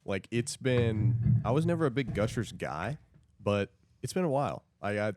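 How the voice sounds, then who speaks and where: A young man with a lowish voice banters as a podcast co-host. His intonation is lively and moves around a lot, and the style is loose and casual. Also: moderate pace, noisy background.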